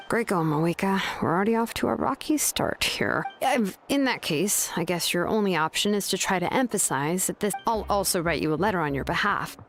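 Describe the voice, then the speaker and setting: A woman's voice: lowish voice, expressive, normal speed, fairly bright tone. An actress playing a weary, exasperated female master craftsman in a video game, with a hesitant, grumbling delivery. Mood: resigned. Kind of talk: video game dialogue acting. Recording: game music behind the voice. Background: music.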